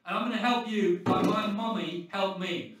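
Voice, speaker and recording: An actor playing a manic, foul-mouthed British criminal with an erratic, volatile way of talking in a video game. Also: male voice, sits high, normal speed, fairly bright tone. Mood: coaxing. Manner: sweary, erratic, swinging from soothing to ranting. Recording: echoey, distant, music behind.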